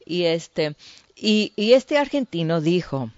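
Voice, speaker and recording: A woman with a Mexican Spanish accent preaching earnestly to a women's group, with frequent fillers and the odd throat-clear. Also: on the low side, sing-song, takes it slowly, no room sound, highs cut off. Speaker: women's ministry speaker.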